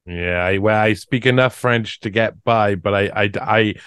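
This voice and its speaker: A man hosting a podcast interview, talking in a casual, halting way with many restarts and fillers. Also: lowish voice, fairly bright tone, clear, resonant tone, dry recording, studio quality. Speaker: podcast host.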